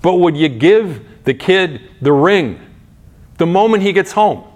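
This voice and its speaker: A middle-aged man giving a sermon in a conversational way, asking rhetorical questions, restarting his sentences and shifting between quiet asides and raised points. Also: sits high, expressive, a little slow. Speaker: pastor.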